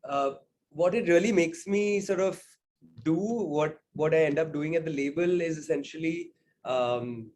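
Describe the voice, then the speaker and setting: A man's voice: fairly high pitch, darkish tone. A young man from India, a singer-songwriter, answering interview questions thoughtfully, with frequent fillers and "right?" tags.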